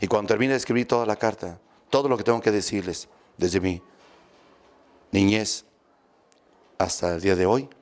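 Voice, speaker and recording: Man, 50s, Mexican accent, priest, low voice, steady homily, repeats for emphasis, close handheld mic. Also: moderate pace, pauses often. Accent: Mexican Spanish. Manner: steady instructive delivery, repeating phrases for emphasis. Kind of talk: sermon on family healing. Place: small church room with statues, indoors. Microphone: handheld mic close to mouth.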